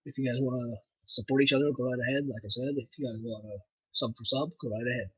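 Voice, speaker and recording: A man's voice: mid-range voice, quick pace, husky, no room sound, lo-fi sound. A young man from Nova Scotia with a French Canadian accent, chatting casually and rambling on his livestream with lots of fillers. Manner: rambling, relaxed, with frequent fillers. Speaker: livestream host.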